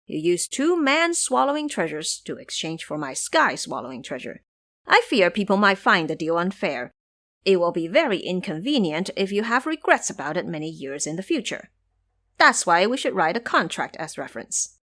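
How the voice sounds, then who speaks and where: Woman voicing sly, animated monkey trickster. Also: fairly low pitch, moderate pace. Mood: smooth persuading. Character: sly male monkey trickster, confident and mischievous.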